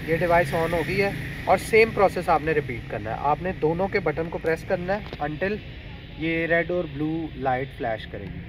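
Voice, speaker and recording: A man's voice: a little high, expressive, normal speed, background noise. A man hosting a motovlog, explaining steps in a casual how-to style and mixing in English words. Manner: explanatory, mixes English words into his speech. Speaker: motovlog host giving a product tutorial. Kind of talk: casual step-by-step how-to narration. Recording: music playing behind the voice. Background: background music.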